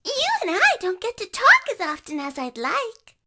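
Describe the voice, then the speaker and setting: A woman's voice: extremely high pitch, wildly swooping pitch, normal speed, noisy background, full character voice. A woman voicing a playful, sneaky frog mascot animatronic in a game, bubbly and cheeky.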